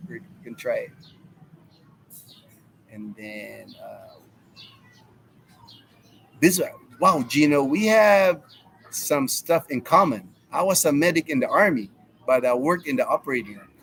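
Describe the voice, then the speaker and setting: A man's voice: a little high, lively intonation, unhurried, creaky, long pauses, fairly bright tone, a little hiss or hum, low-quality recording. A man in his fifties, from the United States, hosting a casual live Q&A stream and rambling through answers to chat questions. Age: 50s. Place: home in the Philippines.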